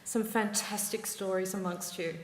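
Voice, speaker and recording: Australian woman, ceremony speech, measured and warm, big echoey hall. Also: mid-range voice, steady tone, a little hiss or hum. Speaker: university ceremony presider. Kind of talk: formal ceremony address.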